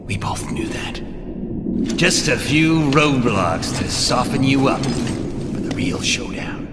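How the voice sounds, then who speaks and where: Slow, swaggering villain. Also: male voice, mid-range voice, lively intonation, heavy background noise. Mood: menacing taunt. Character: taunting, swaggering male villain rival. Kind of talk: video game cutscene voice acting. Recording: long reverb under loud music.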